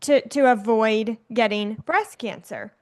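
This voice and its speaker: A woman hosts a conversational podcast interview. Her voice sits a little high, and she asks her questions with open, direct curiosity. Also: unhurried, darkish tone. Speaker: podcast host.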